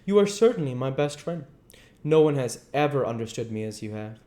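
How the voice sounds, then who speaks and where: A man voicing an earnest, anxious and weary young gentleman in storybook dialogue, with a plaintive delivery. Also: mid-range voice, sing-song, a little fast, pitched above the performer's own voice, natural voice. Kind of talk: storybook dialogue read aloud.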